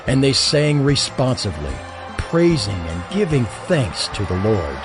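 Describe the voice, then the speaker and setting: Expressive male scripture narrator. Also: mid-range voice, measured pace, music in the background. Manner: expressive, solemn reading.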